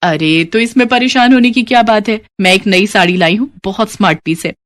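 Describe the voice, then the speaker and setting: A woman voicing a haughty, scheming young city-bred sister-in-law in an animated story, dismissive and sprinkling in English words. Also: medium pitch, brisk, lightly acted. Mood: smug, persuasive. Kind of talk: animated moral story character dialogue.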